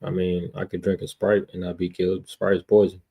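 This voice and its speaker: An American man debating casually in street slang, confrontational, often repeating words and clearing his throat. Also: low voice, flat delivery, brisk, noisy background. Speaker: debater on a religious livestream.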